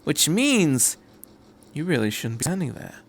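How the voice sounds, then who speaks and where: A man voicing a quirky, cheerful, curious time-traveling adventurer in a story reading, with a brisk, rambling delivery. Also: fairly high pitch, sing-song. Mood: taunting. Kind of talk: audiobook-style story reading.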